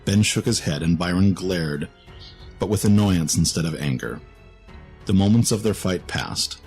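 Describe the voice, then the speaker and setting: A man's voice: low voice, lively intonation, normal speed, a little mellow, faint music behind. A man narrating a scripted fantasy story in a dramatic storytelling style.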